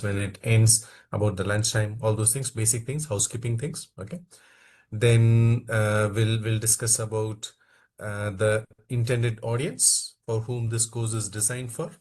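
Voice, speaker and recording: A man's voice: fairly low pitch, darkish tone. Indian male instructor coaxing a class. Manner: repeats "okay" and "uh" between phrases, coaxing participants. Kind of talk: online training class introduction.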